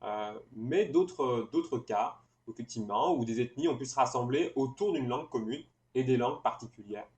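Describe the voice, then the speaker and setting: A man hosting an interview, putting long, reflective questions in a measured, wordy way. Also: medium pitch, darkish tone. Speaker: interviewer, host. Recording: echoey room.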